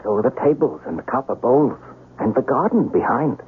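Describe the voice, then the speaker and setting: An actor playing an earnest, courtly young novice samurai in love, in a 1970s radio drama. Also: male voice, medium pitch, animated, fairly quick, lightly acted. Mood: polite, curious. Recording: muffled vintage radio broadcast, faint noise.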